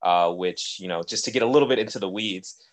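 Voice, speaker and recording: A young man co-hosting a podcast, speaking in a measured, articulate way with frequent ums. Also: deep voice. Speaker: podcast co-host, policy advocate. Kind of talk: casual podcast conversation.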